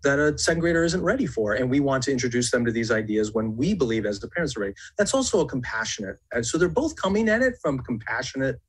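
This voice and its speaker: A man explaining his view in a measured, conversational way on a podcast panel. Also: mid-range voice, sing-song, slight background noise. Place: indoor room, remote podcast call.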